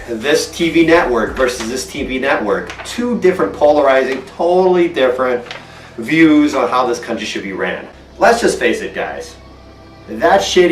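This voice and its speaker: A man's voice: fairly low pitch, distant mic. Man, from Portugal, car vlogger, blunt, swearing, roomy echo, faint music behind. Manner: blunt, fired-up, swears freely. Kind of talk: casual vlog monologue. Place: inside his house, in front of a green screen.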